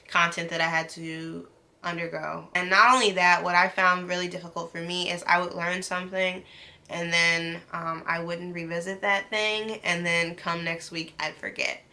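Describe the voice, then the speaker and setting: A woman's voice: on the low side, little pitch movement, normal speed, bright, forceful tone. A woman in her late twenties, a medical student, talking earnestly to camera in a casual vlog, with ums and restarts. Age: 20s.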